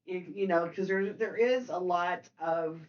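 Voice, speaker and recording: A woman hosting a casual film discussion, speaking haltingly and often repeating and circling back over her words. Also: fairly low pitch, lo-fi sound. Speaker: podcast host.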